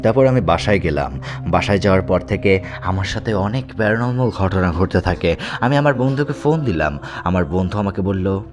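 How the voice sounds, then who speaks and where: A man narrates horror tales in the first person as a show host. His delivery is dramatic storytelling, and music plays clearly behind him. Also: low voice, animated, brisk. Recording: music bed under the voice. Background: background music.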